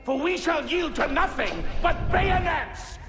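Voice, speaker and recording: An actor playing a defiant revolutionary orator in a video game cutscene, declaiming formally in an echoey space. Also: male voice, very high-pitched, big pitch swings, measured pace, full character voice. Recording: echoey hall, loud music.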